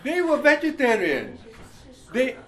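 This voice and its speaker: Lively high-voiced Indian-accented male pastor. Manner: slow scripture reading, constant "you see?" check-ins, chuckles. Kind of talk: sermon, Bible teaching.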